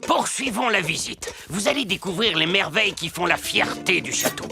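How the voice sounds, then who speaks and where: A ceremonious cartoon castle guide, proud and showy. The delivery swings in pitch with a creaky edge, and music plays behind. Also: male voice, lively intonation, moderate pace. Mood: proud, formal. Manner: ceremonious, showman-like. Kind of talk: dubbed children's cartoon dialogue.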